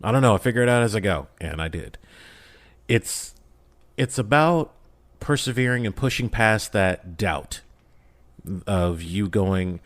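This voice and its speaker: A man's voice: lowish voice, animated, a little slow, long pauses. A man speaking as a podcast guest, casual and motivational, often posing questions and answering them himself. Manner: emphatic, poses and answers own questions. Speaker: podcast guest, audio drama creator. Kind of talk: casual, motivational interview talk.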